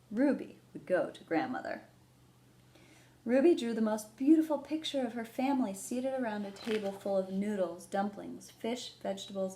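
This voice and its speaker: A woman hosting a children's storytime, explaining things simply and gently and reading a picture book aloud. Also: mid-range voice. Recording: roomy echo, background noise.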